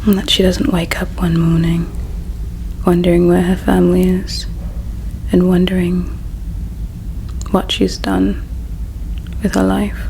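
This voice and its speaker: A woman playing a grieving, devout alien in a whispered ASMR roleplay, speaking softly and solemnly in a breathy whisper with pauses. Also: lowish voice, level tone, slight background noise, poor audio. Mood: wistful, resentful. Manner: slow, soft, solemn, with pauses. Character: grieving, devout alien priestess's daughter preparing someone for war.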